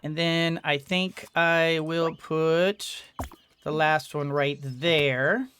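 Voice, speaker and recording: Woman, gaming commentary, gentle, thinking aloud, slightly gunky throat, faint room noise. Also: low-pitched, fairly even intonation, very slow. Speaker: gaming YouTuber. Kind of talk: let's-play commentary.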